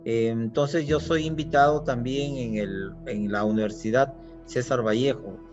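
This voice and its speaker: A man's voice: mid-range voice, unhurried, darkish tone, faint music behind. Peruvian guy explaining things plainly over a video call, kinda muffled audio. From Peru. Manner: brief, matter-of-fact explanations. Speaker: surgeon, guest. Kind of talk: interview answers.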